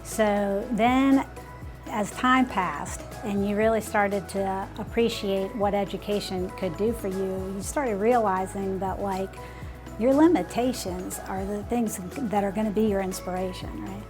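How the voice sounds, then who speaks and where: Woman, 40s, school principal; earnest, reflective interview voice, mid pitch, music bed underneath. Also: steady tone, a little slow. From United States. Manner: earnest, uses "like" and "you know" often.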